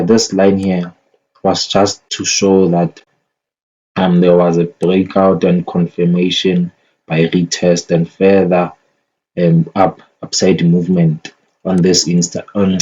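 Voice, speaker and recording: A man's voice: low-pitched, level tone, slow pace, warm tone, slightly rough. A man giving casual, unscripted commentary as a market analyst, thinking aloud and often repeating phrases as he goes. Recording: dull lo-fi microphone sound.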